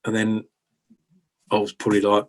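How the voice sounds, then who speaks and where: A middle-aged man with an English accent chatting casually as an interview guest, reminiscing in an informal, halting, self-effacing way. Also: lowish voice, monotone. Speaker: interview guest, blues rock guitarist.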